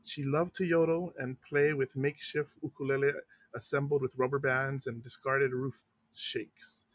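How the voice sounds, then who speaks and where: A man from Hawaii, reading a biography aloud on the radio and pausing now and then to explain local words in a casual aside. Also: medium pitch, little pitch movement, dry recording.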